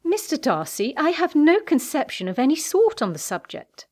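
A woman playing a spirited young gentlewoman in an audiobook, formal and emphatic in her indignation. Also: sits high, huge pitch swings, moderate pace, pitched above the performer's own voice. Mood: polite, firm.

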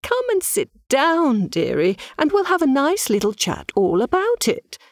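Cast: woman voicing a fawning, flighty medium, high cooing sing-song, dry close sound. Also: wildly swooping pitch, a little slow, dry recording, full character voice. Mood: coaxing. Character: gushing eccentric female medium, fawning and flighty.

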